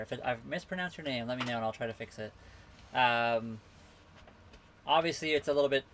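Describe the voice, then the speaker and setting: A man's voice: medium pitch, a bit bright, slight background noise. Easygoing man chatting on a livestream. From western Canada. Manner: easygoing, rambling, reading out and answering chat comments. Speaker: livestream host answering viewer questions. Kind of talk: casual live Q&A chat. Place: on a sailboat at a marina.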